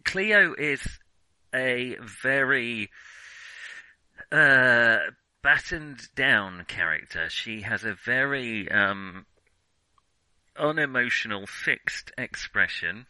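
A man co-hosting a film podcast, thinking aloud in a halting, digressive way as he casually reviews what he has watched. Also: medium pitch, animated, pushed and bright, dry recording, rough recording.

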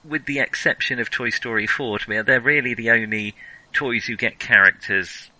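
Male podcast host, crisp-edged and very bright, recorded dry; thoughtful, conversational film discussion. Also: medium pitch, moderate pace, no echo, rough recording.

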